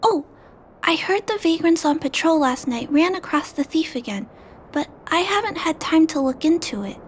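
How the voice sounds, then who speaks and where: A woman voicing a gentle, polite little girl in a video game, earnest and careful as she plays detective. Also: very high-pitched, lively intonation, normal speed, slight background noise.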